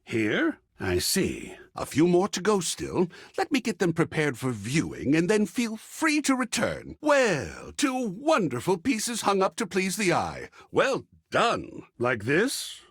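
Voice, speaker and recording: Slow, swooping, effusive art curator. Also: male voice, high-pitched, huge pitch swings, breathy edge, no room sound, studio quality, full character voice. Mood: accepting, gentle, then warm, eager. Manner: savoring, dramatic, refined phrasing with musing pauses. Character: effusive, gentle, philosophical male art curator, an artwork come alive. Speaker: video game voice actor. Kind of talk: theatrical video game character dialogue.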